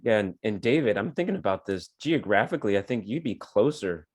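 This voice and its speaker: Deep-voiced podcast host, thoughtful. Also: male voice, animated, brisk, a little mellow. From California. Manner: thoughtful, probing questions. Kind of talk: casual interview questions.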